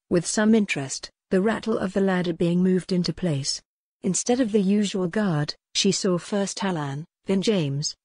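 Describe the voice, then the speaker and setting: A woman narrating a historical romance audiobook in a measured, dramatic storytelling manner. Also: on the low side, darkish tone, no echo, studio quality.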